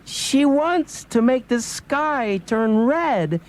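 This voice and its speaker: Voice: high voice, lively intonation, very slow, full character voice. An actor playing a meek, henpecked fisherman in a dramatised folk tale, hesitant, pleading and despairing. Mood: hesitant, afraid.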